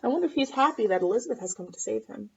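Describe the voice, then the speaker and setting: A woman's voice: a little high, animated, rapid-fire, vocal fry, slightly rough, muffled recording. A woman reading a picture book aloud to children expressively, with asides and questions for the listeners. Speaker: storybook reader.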